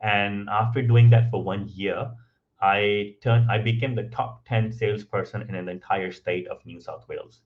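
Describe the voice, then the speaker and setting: Man, low voice, non-native English speaker, chatty storyteller, dull lo-fi audio. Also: fairly even intonation, normal speed. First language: not English. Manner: frequent ums and restarts, tag questions of "right?". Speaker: podcast guest. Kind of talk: conversational storytelling.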